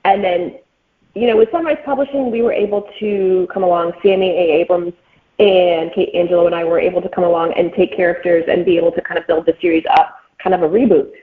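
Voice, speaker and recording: A woman's voice: on the low side, steady tone, fast, narrow, tinny audio. A woman speaking as an author guest in a casual interview, explaining things informally with frequent filler phrases. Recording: thin band-limited call sound.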